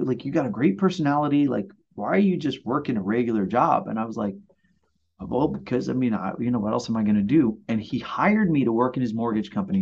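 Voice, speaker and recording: A man in his forties, born in Puerto Rico and raised in Brooklyn, chatting as a mortgage loan officer and telling animated stories with many fillers. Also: medium pitch, slightly rough, highs cut off. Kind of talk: casual storytelling interview.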